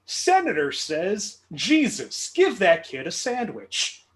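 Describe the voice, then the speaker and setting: A man's voice: sits high, huge pitch swings, takes it slowly. A man with a Midwestern accent hosting a trivia game, reading clues with playful, dry humor. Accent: Midwestern American. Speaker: game show host.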